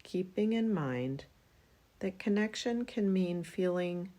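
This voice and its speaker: A woman leads a yoga nidra practice in a low, mellow voice. She speaks very slowly and soothingly. Long pauses separate her short phrases, as in a guided meditation. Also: animated.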